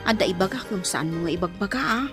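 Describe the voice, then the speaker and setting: A woman's voice: medium pitch, lively intonation, fast talker, over loud music, close to an everyday voice. An actress playing a nosy, coaxing woman confidante in a Filipino radio drama. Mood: earnest.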